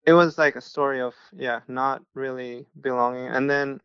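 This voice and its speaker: A man from Mexico tells his story as a podcast guest, his voice gravelly yet smooth, held to a fairly level pitch. He speaks in an earnest, steady way. The sound is dry and a little dull. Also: moderate pace, no room sound. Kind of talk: casual interview storytelling.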